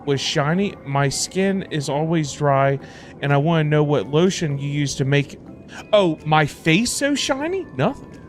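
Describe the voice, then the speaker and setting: A man with a slightly high voice chats casually as a livestream host. He rambles, circles back and repeats phrases in stop-start bursts, with faint music behind him. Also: expressive, unhurried. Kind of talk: casual livestream chat, reading and answering viewer messages.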